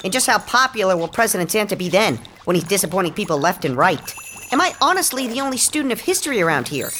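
A woman's voice: medium pitch, sing-song, fast talker, fairly bright tone, a little hiss or hum. A woman voicing a worried, complaining workshop elf in an audio drama. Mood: grumbling. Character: grumbling, anxious female workshop elf.

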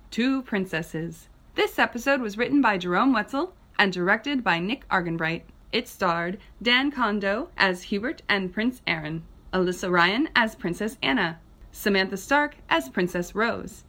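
A woman's voice: medium pitch, pushed and bright. A woman announcer giving a scripted read of show credits.

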